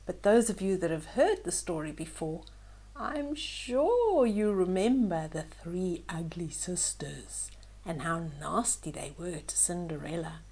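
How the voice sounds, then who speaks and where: Woman reading a fairytale to little kids, really slow mellow voice, expressive, goes hushed a lot. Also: on the low side, slightly rough. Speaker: children's storyteller. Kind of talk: storybook read-aloud with asides to young listeners.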